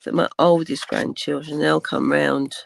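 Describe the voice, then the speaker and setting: An older woman with a croaky voice, chatting casually through a craft tutorial, rambling with many ums and dropping to a whisper while she works. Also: sits low, flat delivery, unhurried, dry recording. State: croaky from cold weather. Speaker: crafting channel host.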